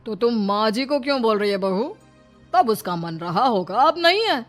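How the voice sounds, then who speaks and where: A woman's voice: fairly high pitch, animated, moderate pace, soft background music, close to an everyday voice. A woman voicing a domineering older father-in-law in a story, scornful and emphatic in delivery. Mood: dismissive. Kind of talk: dramatised Hindi moral story.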